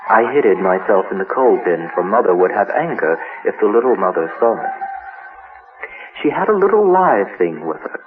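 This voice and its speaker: A man's voice: fairly low pitch, lightly acted. A man voicing a chained, hurt monster child in a horror monologue, telling its story in simple, broken childlike sentences. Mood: fearful, secretive. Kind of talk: first-person dramatic horror monologue. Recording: noisy, tinny narrow-band radio-like sound.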